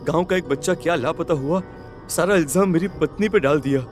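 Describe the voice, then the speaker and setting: A man voicing the embittered, halting ghost of a murdered village uncle in a horror cartoon story. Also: fairly high pitch, faint music behind, voice pushed down, lightly acted. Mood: bitter sorrow. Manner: bitter, halting.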